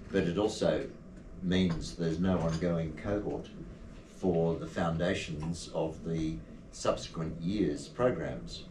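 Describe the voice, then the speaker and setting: A man giving an earnest, measured short address at a poetry evening, with some ums and uhs. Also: extremely low pitch. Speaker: community advocate. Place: indoor venue at a poetry evening. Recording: echoey room, distant mic, noisy.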